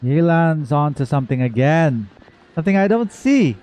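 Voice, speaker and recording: A man's voice: fairly high pitch, very animated, normal speed, a little mellow. A man hosting a livestream, chatting casually to viewers in a rambling, informal, self-correcting way. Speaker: livestream host. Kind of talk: casual chat to viewers, game reaction. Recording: dull, muffled audio with faint music.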